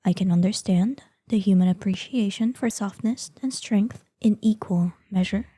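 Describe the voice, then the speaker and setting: A woman's voice: medium pitch, moderate pace, dark tone, breathy edge, no room sound, clean studio sound, natural voice. A woman voicing a proud, vain ancient female dragon in human form for a roleplay audio drama, speaking in an imperious, formal, theatrical manner. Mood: preening, vain.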